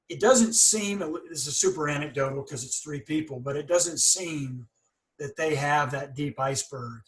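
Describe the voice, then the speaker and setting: A man in his forties hosts a casual interview podcast. He stutters openly, with blocks and repeated sounds, but speaks with earnest candor. His voice sits a little higher than most men's. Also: a bit bright, rough recording. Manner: candid and earnest. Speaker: podcast host.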